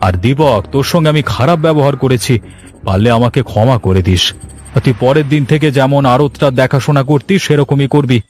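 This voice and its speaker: Casting: male actor as cartoon village police officer, gruff yet fallible, middle register, plain-spoken. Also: medium pitch, brisk, a little mellow, natural voice. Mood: contrite.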